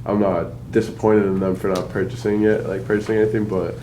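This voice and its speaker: Brief: young low-voiced man, rambling and hesitant, faint background noise. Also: flat delivery, fast, mellow, rough recording. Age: 20s. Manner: hesitant, many fillers. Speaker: workshop attendee asking a question. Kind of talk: rambling audience question.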